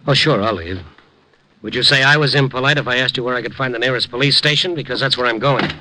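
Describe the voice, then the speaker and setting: An actor playing a sardonic American private investigator in a 1950s radio detective drama. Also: male voice, medium pitch, fast, close to an everyday voice. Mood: suspicious, sardonic. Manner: sardonic, wisecracking. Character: hard-boiled wry male private investigator narrating his night. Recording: noisy vintage AM radio recording with clicks.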